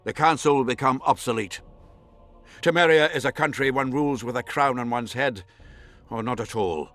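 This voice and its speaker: A man's voice: medium pitch, normal speed, a bit bright, soft background music, lightly acted. A man playing a haughty, scornful nobleman in a fantasy video game, with imperious, formal diction. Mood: stern, haughty. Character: haughty scornful nobleman, baron vying for a throne. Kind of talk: dramatic fantasy game acting.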